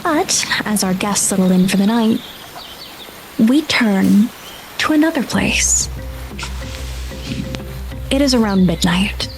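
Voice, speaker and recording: A woman's voice: medium pitch, expressive, takes it slowly, pauses often, soft background music, poor audio. A woman working as game master, narrating atmospheric tabletop scenes in a dramatic storytelling manner.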